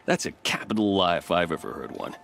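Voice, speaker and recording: A man's voice: deep voice, lively intonation, brisk, gravelly, a bit bright, slight background noise, heavily acted. A man playing a dry, wry outpost commander in a video game, formal in his phrasing.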